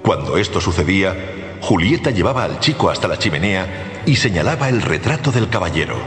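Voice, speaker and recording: A man narrating a storybook tale for radio in an expressive, theatrical way. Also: low voice, fairly quick. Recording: echoey long reverb, music behind.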